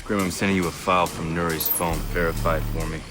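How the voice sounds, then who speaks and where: A man plays a hard covert field agent with a low voice, issuing terse, dry commands in video game cutscene style. Music plays clearly behind him. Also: flat delivery, fast talker, close to an everyday voice. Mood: focused.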